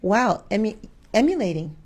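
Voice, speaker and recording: A woman's voice: mid-range voice, moderate pace. A woman answering interview questions as a guest, a schoolteacher who talks reflectively and expressively in a conversational way. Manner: reflective, expressive, quotes her own thoughts and asks rhetorical questions.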